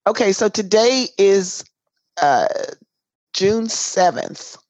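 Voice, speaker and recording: Hoarse woman, co-host, slow and emphatic, dry close sound. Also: mid-range voice, expressive, a little mellow, no echo, rough recording. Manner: emphatic, drawn-out, folksy asides like "girl" and "honey". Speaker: podcast co-host. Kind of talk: casual chat between sisters. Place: home in a city in Washington State.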